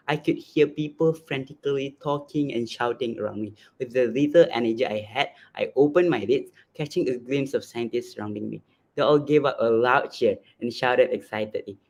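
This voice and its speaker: A young man, a student reading a first-person short story aloud. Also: medium pitch.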